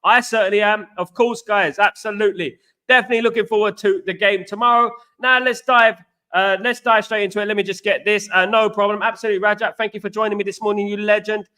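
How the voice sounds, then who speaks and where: A man's voice: high-pitched, steady tone, fast, sharp tone. A man from England hosting a casual livestream, chatty and greeting viewers by name, often repeating himself. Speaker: host.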